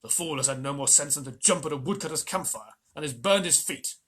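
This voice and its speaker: A reader voicing a proud father wolf from a storybook, loud, angry and commanding. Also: medium pitch, brisk, husky. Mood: scornful. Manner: angry and commanding, old-fashioned speech. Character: proud, fierce male wolf, a protective father. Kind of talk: storybook reading.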